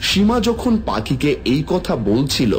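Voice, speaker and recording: Lively male fairy tale narrator. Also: on the high side, measured pace. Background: background music.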